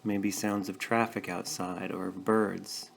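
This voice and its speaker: A man leading a guided meditation, speaking gently and in a measured way with pauses between short phrases. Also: sits low, flat delivery, vocal fry, a little hiss or hum. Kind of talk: guided meditation, calm instruction.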